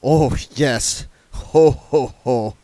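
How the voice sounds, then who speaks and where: A man's voice: fairly high pitch, very animated, measured pace, a little mellow, no room sound, pitched above the performer's own voice. A man voicing a fussy, reformed male vampire who speaks in a prim, indignant, overly formal way. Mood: sarcastic.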